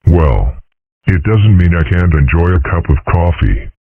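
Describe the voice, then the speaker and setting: A man's voice: low-pitched, lively intonation, unhurried, a little hiss or hum. A man voicing a mild, bemused dragon father running for office in a comic dub. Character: older male dragon political candidate, mild and bemused. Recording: tinny, phone-like, dry.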